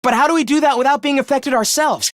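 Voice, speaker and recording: A man playing an earnest, caring teenage student leader in an anime-style video game, with a youthful, expressive voice and polite delivery. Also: very high voice, quick pace, no echo, studio quality. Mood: alarmed, urgent. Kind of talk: animated game voice lines, anime-style dub acting.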